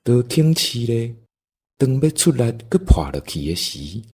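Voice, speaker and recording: A man's voice: medium pitch, very animated, a little slow, a little mellow, breathy edge. A man with a Taiwanese accent narrating a scripted folk story as the storyteller.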